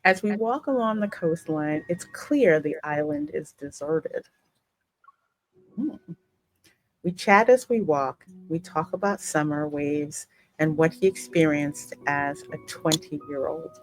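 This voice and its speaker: A woman's voice: sits low, slow, low-quality recording. A woman hosting a gaming channel, chatting casually as she reacts to a game and reads its narration aloud.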